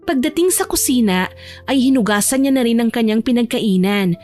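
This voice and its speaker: Female audiobook narrator for romance fiction, higher register, storytelling read over background music. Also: expressive, fairly quick, darkish tone. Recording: music bed behind the voice.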